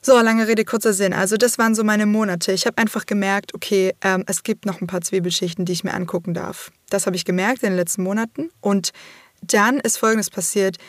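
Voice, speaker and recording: A slightly hoarse woman hosting a podcast, talking openly and casually about her own life, swearing freely and filling pauses with "ähm". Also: medium pitch, steady tone, no room sound, studio quality. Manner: open, casual, swears freely, many fillers. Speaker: podcast host. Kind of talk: candid personal monologue.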